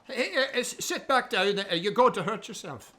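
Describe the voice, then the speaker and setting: An actor playing a brash, authoritative 1930s American police officer in a radio drama, with a brisk manner. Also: male voice, high-pitched, expressive, sharp tone, full character voice. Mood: urgent.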